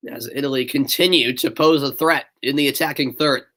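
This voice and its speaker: A man's voice: medium pitch, fairly quick, pushed and bright. A young man from the northeastern United States with a stuffy nose, calling live play-by-play and chatting casually with viewers. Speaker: play-by-play commentator. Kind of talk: live amateur sports commentary.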